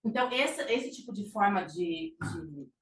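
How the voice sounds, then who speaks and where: A woman's voice: mid-range voice, expressive, measured pace. A middle-aged Brazilian woman giving an informal psychology talk, with frequent fillers and restarts. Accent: Brazilian Portuguese. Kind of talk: conversational lecture presentation. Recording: echoey room, distant mic, faint noise.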